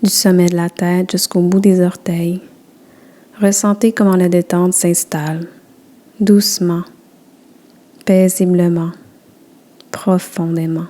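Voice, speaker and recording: A woman guiding a yoga nidra relaxation session, giving soft, soothing instructions. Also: fairly low pitch, flat delivery, slow pace, long pauses. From Quebec. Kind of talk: guided relaxation.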